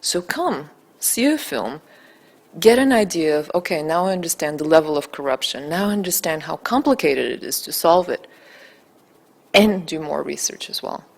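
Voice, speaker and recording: A native Bulgarian woman answering interview questions as a documentary director, earnest, deliberate and emphatic. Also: deep voice, lively intonation, creaky.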